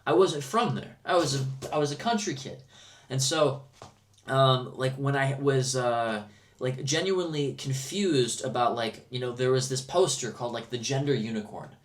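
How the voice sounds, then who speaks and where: Man, American accent, podcast host, mid pitch, casual storytelling, halting phrasing, slight background noise. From Milwaukee, United States. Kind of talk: casual conversation, personal anecdotes.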